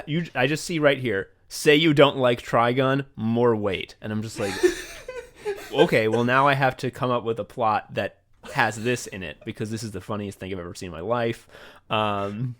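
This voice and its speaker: A man's voice: medium pitch, a bit bright. Male podcast host, enthusiastic rambler, loose conversational delivery, casual swearing. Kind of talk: casual behind-the-scenes chat.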